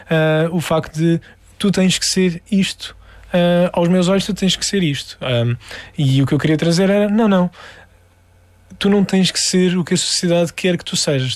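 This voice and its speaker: A man's voice: on the high side, dry recording. A man from the Algarve with a European Portuguese accent, a musician answering interview questions with many fillers and restarts. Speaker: musician guest.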